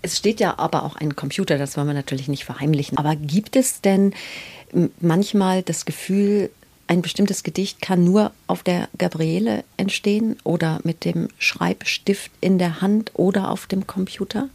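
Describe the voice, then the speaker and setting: A woman's voice: on the low side, normal speed, a little mellow, slightly rough. A woman hosting a literary interview podcast, speaking in an articulate, courteous way. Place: indoors, in a writer's study.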